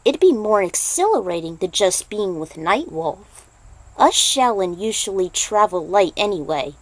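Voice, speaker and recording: A woman voicing a gentle, reassuring young male warrior monk in an amateur story reading. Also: medium pitch, big pitch swings, a little slow, no echo. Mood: wistful, calm.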